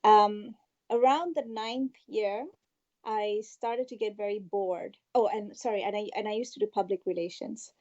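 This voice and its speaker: Woman guest from Malaysia, warm mellow tone, slightly dull lo-fi line, thoughtful storyteller. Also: mid-range voice, fairly even intonation, moderate pace. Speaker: guest, past life regression therapist. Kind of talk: interview storytelling.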